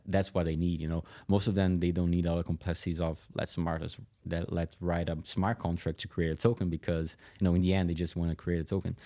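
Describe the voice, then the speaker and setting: A man with a very low voice full of fry, explaining as a podcast guest. His delivery is conversational and halting, with a narrow melody that stays fairly even. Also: no room sound, clean studio sound. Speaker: company CEO interview guest.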